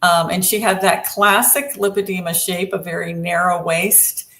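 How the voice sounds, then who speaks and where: A woman with a lowish voice talks as a therapist on a casual online panel. She is measured and steady, and often murmurs agreement while others speak. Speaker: lymphedema therapist and co-host.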